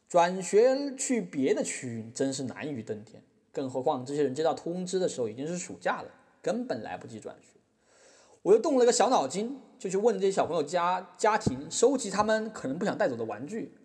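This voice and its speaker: A man's voice: fairly high pitch, sing-song, a little fast, darkish tone. A man playing a wry, mock-apologetic artist who defends himself in a satirical courtroom podcast drama, telling his story with irony. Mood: wry storytelling. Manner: ironic, mock-humble, storytelling.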